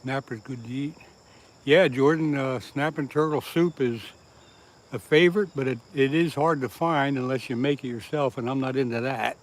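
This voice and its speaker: A man's voice: medium pitch, steady tone, normal speed. A man from southeast Pennsylvania chatting on a casual fishing livestream, reading viewer comments aloud and laughing at his own jokes. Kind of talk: casual live-stream chat with viewers. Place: outdoors by the water.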